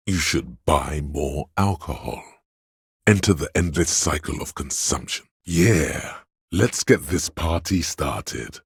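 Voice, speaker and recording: A man voicing a video game's inner voice of addiction, a seductive, hedonistic tempter who coaxes and drawls in a deep, gravelly, husky voice. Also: no room sound, clean studio sound, exaggerated voice. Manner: coaxing, insinuating, drawling. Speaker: video game voice actor. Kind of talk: theatrical second-person game narration.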